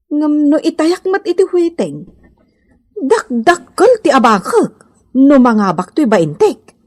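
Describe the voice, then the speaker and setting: Radio comedy drama: whiny, theatrical Ilocano mother, high-pitched, slow and very animated. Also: heavily acted. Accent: Ilocano Filipino. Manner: exclaiming, wailing, drawn-out. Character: struggling, whiny, dramatic Ilocano wife and mother, small-time gambler.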